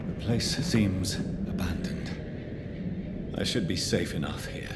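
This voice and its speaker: A man's voice: low-pitched, takes it slowly, heavy background noise. A man playing an anguished, bewildered vampire doctor in a video game, intense and pleading. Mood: grave, wary. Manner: pleading, intense, educated wording. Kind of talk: dramatic video game acting. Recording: under loud music.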